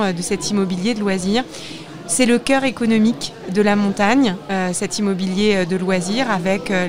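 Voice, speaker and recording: A woman with a French accent from France, speaking as an elected official in an earnest, measured, semi-formal interview with emphatic restatements. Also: medium pitch. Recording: live event with crowd behind. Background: crowd chatter.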